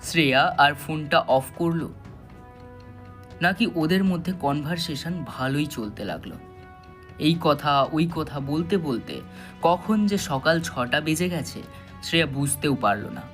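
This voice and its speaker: A man tells a scripted thriller as its narrator. His voice sits a little high, the delivery is dramatic and suspenseful, and music runs behind it. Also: lively intonation, measured pace, a bit bright. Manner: suspenseful storytelling. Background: background music.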